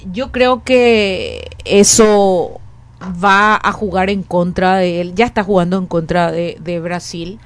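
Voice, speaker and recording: A woman in her late forties with a Paraguayan Spanish accent, a guest in an interview who speaks earnestly, repeating and restarting phrases as she thinks aloud. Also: on the low side. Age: 40s. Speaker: education academic, guest. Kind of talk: conversational interview.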